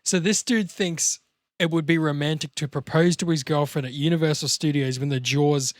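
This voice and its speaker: A man's voice: fairly high pitch, steady tone, moderate pace, no echo, studio quality. A man chatting casually as he explains acting ideas and hosts a script discussion.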